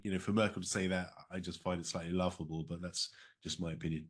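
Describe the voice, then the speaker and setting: Low-voiced British man, halting interview talk. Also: fairly even intonation. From United Kingdom. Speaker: interview guest, former diplomat.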